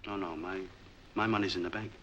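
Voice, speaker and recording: An actor playing a shrewd, long-hidden street peddler in a 1940s crime film. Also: male voice, sits low, steady tone, fairly quick, fairly bright tone, highs cut off. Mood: wry, matter-of-fact. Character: shrewd, forgiving street peddler with a lame leg, long in hiding. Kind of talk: 1940s film noir acting. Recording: noisy 1940s film soundtrack.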